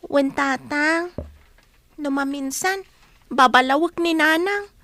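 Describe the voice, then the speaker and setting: Very high-pitched plaintive child. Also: female voice, takes it slowly, sharp tone, no echo, full character voice. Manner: plaintive, questioning. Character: young child, plaintive, hurt by absent mother. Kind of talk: radio drama acting. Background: music.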